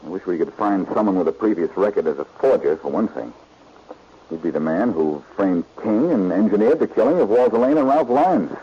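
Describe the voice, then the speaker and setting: An actor playing a confident, glib, teasing amateur detective in a 1940s radio drama. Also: male voice, lowish voice, lively intonation, brisk, husky. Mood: brisk, intent. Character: wisecracking, confident amateur detective, adult man. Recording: muffled, dull vintage radio recording.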